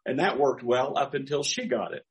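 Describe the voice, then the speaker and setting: A man's voice: medium pitch, lively intonation, normal speed. An older man, a pastor, preaching a sermon through folksy personal stories with chuckling asides.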